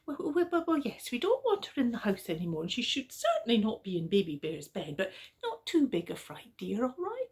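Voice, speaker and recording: A woman voicing Mummy Bear, a fussy motherly bear, in a high fluttery voice. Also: huge pitch swings, fairly quick, few pauses, darkish tone, husky, heavily acted. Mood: fussing.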